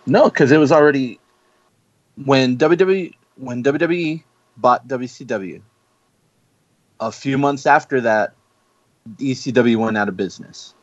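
A man's voice: medium pitch, no echo. A man in a casual group chat who argues bluntly and swears casually. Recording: dry, dull lo-fi recording.

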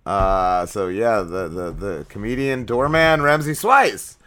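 A man's voice: fairly low pitch, animated, slow pace, pushed and bright. A man co-hosting a comedy show, bantering casually with profane, mocking commentary. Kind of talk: casual banter and running commentary on a played recording.

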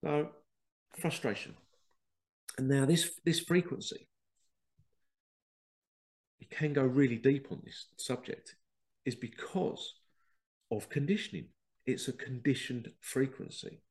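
A man's voice: medium pitch, slow pace, long pauses, darkish tone. A man with a head cold and a congested voice, hosting a rambling spiritual talk and often acting out quoted exchanges. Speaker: podcast host. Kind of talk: casual spiritual monologue.

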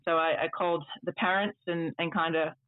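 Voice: mid-range voice, steady tone, brisk, no echo. A native Dutch speaker in their thirties, a tour trip manager, chatting at length in an interview over a video call. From Netherlands and United States. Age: 30s. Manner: chatty, long rambling answers. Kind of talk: casual interview storytelling. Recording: tinny phone-like line, dry.

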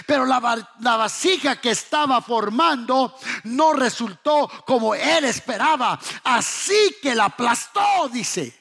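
A man preaching a fervent sermon with a raspy, strained voice, emphatic and repetitive, swinging between hushed lines and shouted exhortation. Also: very high-pitched, expressive, slow. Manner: emphatic, rhetorical questions, repeating phrases for effect.

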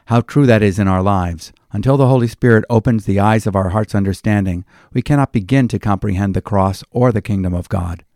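A male pastor reads devotional commentary on scripture. His voice sits low and has a mellow, dark tone. He speaks deliberately and in a preacherly way, in a very dry recording. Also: dry recording.

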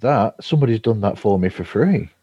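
A man chatting casually as a podcast host, halting and rambling, often chuckling. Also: fairly low pitch, animated, warm tone, husky. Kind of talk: casual remote chat. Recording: dull, roomy remote call audio.

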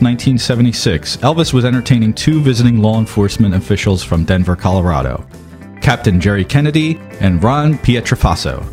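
A man reading scripted comic narration as a podcast narrator. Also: mid-range voice.

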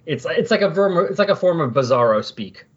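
Husky man chatting, dull lo-fi sound. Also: fairly high pitch, expressive, fairly quick. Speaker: co-host of a comic book discussion podcast. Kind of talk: casual comic book discussion, reading dialogue aloud. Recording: dull, lo-fi voice recording.

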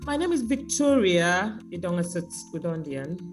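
A woman artist speaking by phone in an interview, reflective and sometimes joking, with lively emphasis. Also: lowish voice, moderate pace, faint music behind. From Nigeria.

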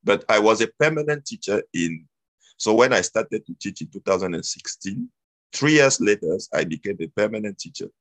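A man's voice: on the low side, lively intonation, moderate pace, fairly bright tone, no echo. A man, telling his story as an interview guest, hesitant with many fillers and restarts. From Cameroon.

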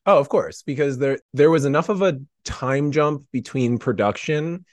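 A man chatting casually as a podcast guest in a rambling, animated, slangy way. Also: medium pitch, moderate pace, no echo. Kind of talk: casual chat.

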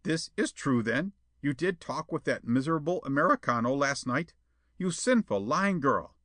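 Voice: medium pitch, very animated, normal speed, sharp tone, dry recording, clean studio sound, pitched above the performer's own voice. A man voicing a crafty, accusing male villain in a storybook, sly, needling and insistent.

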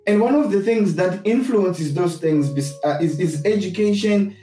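A man from Zimbabwe with a Zimbabwean accent gives a reflective, sermon-like talk, deliberately restating his points for emphasis. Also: sits high, dark tone. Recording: roomy echo, distant mic, music behind.

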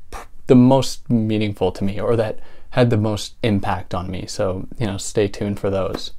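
A man's voice: on the low side, darkish tone. A young man hosting his own video channel, talking casually and thoughtfully about books, with a soothing voice and many fillers. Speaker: book channel host. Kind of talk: casual vlog talk.

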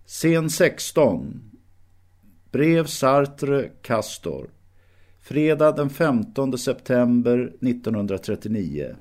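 A man's voice: mid-range voice, big pitch swings, darkish tone. A man narrating an audio drama with scripted scene announcements.

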